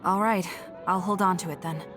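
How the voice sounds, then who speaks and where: A cool young female soldier performed by an actress for a video game. She has a slightly low voice and talks with blunt, reserved directness. Music is clearly heard behind her lines. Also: steady tone, normal speed, natural voice. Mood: guarded, curious. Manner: matter-of-fact, reserved, blunt. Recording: studio voice under loud game music.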